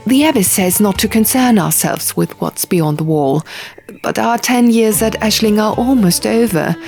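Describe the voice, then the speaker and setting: A composed, determined young heroine read by a female narrator in an audiobook. She speaks earnestly, pitched a little above the narration, with faint music underneath. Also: moderate pace, creaky, a little mellow, close to an everyday voice. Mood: earnest, hesitant.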